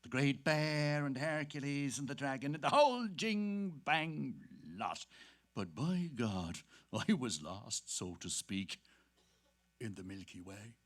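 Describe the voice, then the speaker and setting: A man's voice: medium pitch, lively intonation, slow. An actor with an Irish accent playing a jovial, bawdy Dublin man-about-town, chuckling through a theatrical stage reading. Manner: gleeful, chuckling, theatrical storytelling. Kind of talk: animated dramatic reading on stage.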